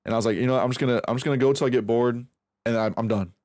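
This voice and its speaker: A man's voice: medium pitch, steady tone, racing, a little mellow, dry recording. Profane rambling young man, dry mic. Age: 20s. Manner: rambling, profane, self-reflective, restarts a lot. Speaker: streamer. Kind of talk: casual stream chat while aim training.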